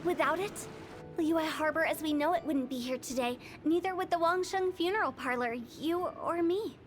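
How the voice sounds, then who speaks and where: High-voiced quirky young funeral director played by a woman. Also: moderate pace, lightly acted. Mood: solemn, cryptic. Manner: theatrical, playful, articulate. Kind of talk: video game voice acting, storytelling. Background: game music.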